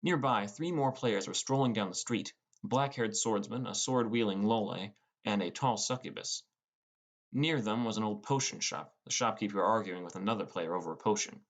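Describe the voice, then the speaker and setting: Guy narrating a fantasy audiobook in first person, lowish voice. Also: moderate pace.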